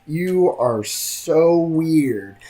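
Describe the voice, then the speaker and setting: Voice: medium pitch, animated, drawn-out words, warm tone. A game streamer chatting casually with live viewers while reacting to a game, teasing and slangy. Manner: teasing, slangy banter with chat.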